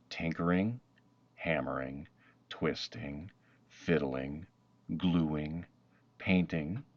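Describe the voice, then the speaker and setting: A man narrating a children's picture book aloud, with expressive, dramatic emphasis and deliberate pauses. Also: deep voice, no echo, lo-fi sound. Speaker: storybook narrator.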